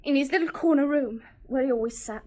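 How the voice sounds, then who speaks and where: Actress as a high-voiced young woman, defensive and sharp-tongued, radio drama. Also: quick pace, lightly acted. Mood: shaken. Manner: prickly, defensive, swears. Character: grieving, defensive young gangster's girlfriend.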